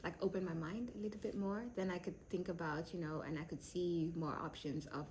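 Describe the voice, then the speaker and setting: Thoughtful young woman, lowish voice. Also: steady tone, brisk, a little mellow, slight background noise, low-quality recording. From South Sudan, raised in Kenya. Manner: thoughtful, many fillers and restarts. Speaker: PhD candidate. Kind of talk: interview answers.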